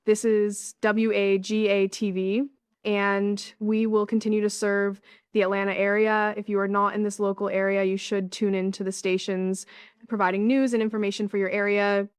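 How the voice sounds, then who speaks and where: A woman reading a scripted emergency announcement, formal and deliberate. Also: medium pitch, flat delivery, clear, resonant tone, no room sound, clean studio sound.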